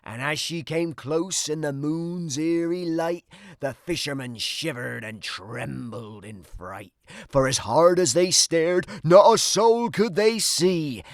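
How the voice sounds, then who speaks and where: Slow, theatrical male storyteller. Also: medium pitch, vocal fry, dry recording, clean studio sound. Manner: theatrical, dramatic delivery. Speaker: storybook narrator. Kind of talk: animated children's read-aloud of rhyming story.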